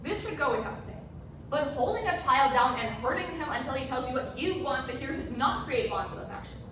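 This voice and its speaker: An actress plays a principled female investigator with a slightly high voice and a pointed, skeptical delivery. It is theatrical stage speech, heard from off the mic with noise around it. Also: expressive, brisk. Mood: indignant. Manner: crisp argumentative delivery, pointed. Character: skeptical, principled female investigator exposing flawed abuse findings. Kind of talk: competitive stage readers theatre. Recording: echoey room, distant mic, noisy.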